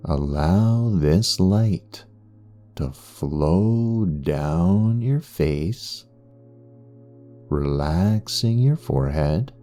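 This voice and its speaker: A man guiding a meditation in a soothing, unhurried way, leaving long pauses between phrases. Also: low-pitched, mellow. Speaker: meditation guide and hypnotherapist. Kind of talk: guided meditation narration. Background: background music.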